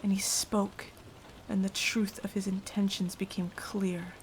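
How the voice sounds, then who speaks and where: A woman's voice: medium pitch, flat delivery, slight background noise, lightly acted, rough recording. A woman playing a frightened, self-sacrificing young victim, telling of a vampire attack as dramatic ASMR roleplay. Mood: fearful, haunted. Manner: hushed, dramatic, deliberate storytelling.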